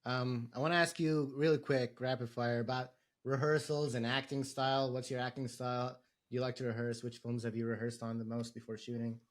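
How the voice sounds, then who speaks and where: A man's voice: medium pitch, little pitch movement. Easygoing male podcast host. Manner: easygoing, quick to laugh, short affirmations. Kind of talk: casual interview questions.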